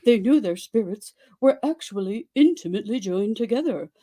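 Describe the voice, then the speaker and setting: A woman giving a theatrical dramatic reading of a story, with exaggerated delivery and dramatic pauses. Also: mid-range voice, lively intonation, warm tone. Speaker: dramatic reader.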